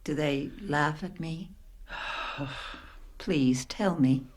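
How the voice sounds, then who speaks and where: A woman's voice: low voice, very slow, heavily acted. An actress playing a bewildered forty-one-year-old married woman in a radio play, hesitant, questioning and unsure of herself. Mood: anxious, pleading.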